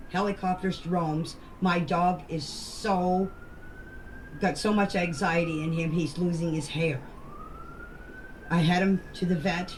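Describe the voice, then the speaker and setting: Lady sending voice clips, blunt and kinda defensive, bit of noise behind her. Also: fairly low pitch. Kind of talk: informal recounting in voice messages. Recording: faintly noisy voice-message recording.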